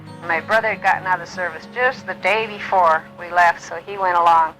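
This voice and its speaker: An elderly woman from Illinois in her nineties, gently recalling her life in an unhurried way, often pausing with um and uh. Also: fairly low pitch, faint music behind, poor audio. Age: older. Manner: gentle, unhurried storytelling with many ums and uhs. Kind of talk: reminiscing, answering memory prompts.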